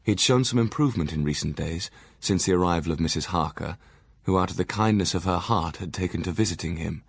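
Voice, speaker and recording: An actor playing a stern, measured asylum doctor narrating his diary in an audio drama. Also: low voice, creaky, breathy edge, no echo, full character voice. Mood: measured, grave.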